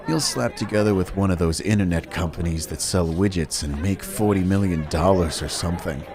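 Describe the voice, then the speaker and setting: A man's voice: sits low, a little fast, crowd noise. A man voicing a cocky, laid-back rich teenage boy who talks in breezy slang. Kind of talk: fan dub voice acting.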